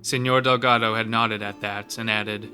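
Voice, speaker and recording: A man's voice: fairly low pitch, flat delivery, normal speed, sharp tone, faint music behind. A man narrating a scripted horror story as the narrator of an audio drama.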